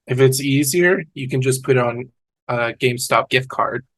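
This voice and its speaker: A man's voice: mid-range voice, normal speed, fairly bright tone, dry recording, lightly acted. A man playing a dim, childlike, earnest young guy in a comedy table read.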